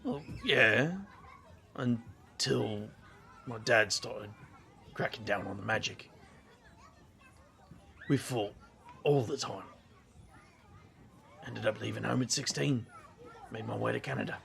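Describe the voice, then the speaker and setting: A man playing a gruff, sarcastic British magician in an audio drama, with a gravelly voice and slangy, grumbling delivery. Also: on the low side, takes it slowly, pauses often, pushed and bright, some chatter behind, a little hiss or hum, full character voice. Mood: wistful, clipped.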